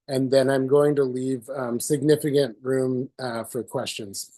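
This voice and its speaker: Hoarse man presenting with many fillers. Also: mid-range voice, mellow. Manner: hesitant, many fillers and restarts. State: hoarse from a cold. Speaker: presenter at community meeting. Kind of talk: presentation on a video call. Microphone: video call.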